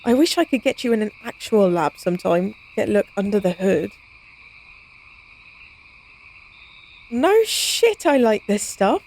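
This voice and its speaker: A woman's voice: mid-range voice, big pitch swings, moderate pace, a little mellow. A woman playing a playful, cocky, science-obsessed vampire in an intimate audio roleplay, speaking in a casual, teasing, wry way. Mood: enthusiastic.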